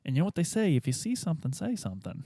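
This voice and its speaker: A man voicing a friendly detective in tabletop roleplay, casual and hesitant, gently pressing with repeated phrases. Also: fairly high pitch, wildly swooping pitch, rapid-fire, dry recording, exaggerated voice. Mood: coaxing. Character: male detective playing good cop, chummy, gently pressing.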